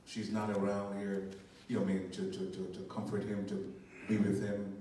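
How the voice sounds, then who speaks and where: An older man answers slowly and thoughtfully, stumbling and repeating his words. His voice is low and warm. It sounds distant, caught in a big echoing theater with a faint hiss. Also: completely flat delivery. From Guyana. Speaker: stage actor on a talkback panel. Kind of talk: post-show panel answer. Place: theater stage. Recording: echoey hall from far off.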